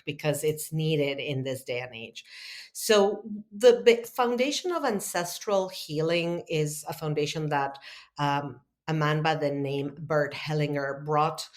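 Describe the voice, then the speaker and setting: Woman, podcast guest, low and grounded voice; earnest, heartfelt, thinks aloud. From Argentina. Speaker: podcast guest, healing facilitator. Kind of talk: conversational interview, long explanations.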